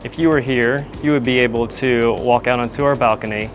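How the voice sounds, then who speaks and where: A man's voice: mid-range voice, fairly even intonation, fairly quick. A man narrating an explanatory guided tour as an air traffic controller, in a plain, methodical way. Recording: music behind the voice. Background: music.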